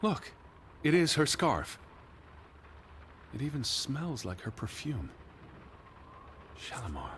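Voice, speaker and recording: An actor playing a weary, anxious, bookish gentleman with a formal, precise manner in a 1920s period drama game. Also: medium pitch, huge pitch swings, takes it slowly, pauses often, a little hiss or hum, heavily acted. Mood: agitated, insistent. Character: weary, anxious, bookish middle-aged gentleman searching for his sister.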